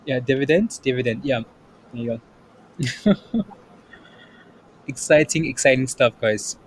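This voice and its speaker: A man's voice: medium pitch, takes it slowly, long pauses, slight background noise, rough recording. A man hosting a casual trading livestream, upbeat and chatty, often saying yep and there you go. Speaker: livestream host.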